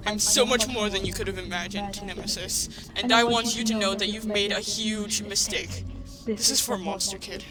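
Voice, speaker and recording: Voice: medium pitch, steady tone, moderate pace, few pauses, music in the background, lightly acted, poor audio. A performer in an audio drama playing a bitter, vengeful young human who accuses with cold intensity. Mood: cold, menacing. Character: Frisk, furious vengeful young human protagonist turning cold.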